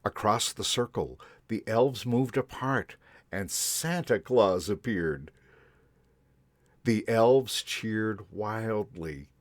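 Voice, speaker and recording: A man reads a children's story aloud, slow and unhurried, his low voice rising and falling with lively expression. The sound is dry and close, with no room around it. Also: no room sound. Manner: gentle, unhurried, expressive reading. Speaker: storyteller in a Santa persona.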